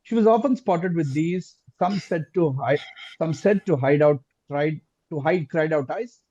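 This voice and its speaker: A man hosting a quiz live, reading questions aloud deliberately and repeating answers and phrases, over lo-fi online call audio. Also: a little high, mellow, slightly rough, dry recording. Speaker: quizmaster.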